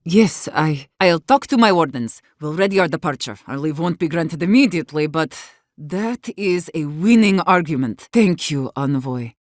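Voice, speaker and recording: A low-pitched, embittered warden leader. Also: female voice, lively intonation, normal speed, dry recording, studio quality. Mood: stunned, then resolved. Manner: blunt, profane, earnest. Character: embittered, defiant woman warden leader, weary but fierce. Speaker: video game voice actor. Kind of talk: dramatic game dialogue.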